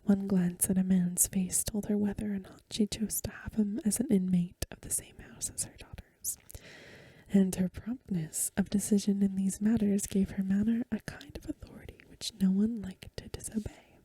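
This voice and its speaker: A woman softly whispering a story for ASMR in a breathy voice, with gentle, careful phrasing, close to the microphone. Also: mid-range voice, fairly even intonation, moderate pace, no room sound. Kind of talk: soft-spoken ASMR story reading.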